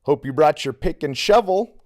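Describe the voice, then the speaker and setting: A man's voice: fairly high pitch, expressive, moderate pace, darkish tone, voice pushed up, natural voice. A man voicing a mocking, cocky older teenage boy in an audiobook, sarcastic and taunting. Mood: fake enthusiasm.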